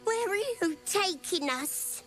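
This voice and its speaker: A woman's voice: extremely high pitch, lively intonation, slow, fairly bright tone, soft background music, heavily acted. An actress playing a terrified little prisoner woman who pleads in panic. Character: terrified small female prisoner.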